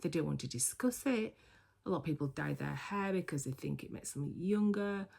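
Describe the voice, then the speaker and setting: A woman's voice: low voice, animated, fairly quick, creaky, darkish tone, breathy edge. A woman in her sixties from the UK, chatting casually and reflectively to camera on her vlog, with filler words and restarts. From United Kingdom. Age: 60s. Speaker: vlogger.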